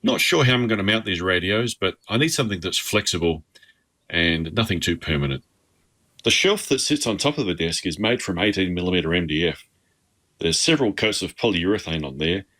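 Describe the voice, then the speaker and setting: A man's voice: low-pitched, expressive, a little fast, sharp tone. An Australian-accented man giving an informal instructional tour as a hobbyist. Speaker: amateur radio hobbyist.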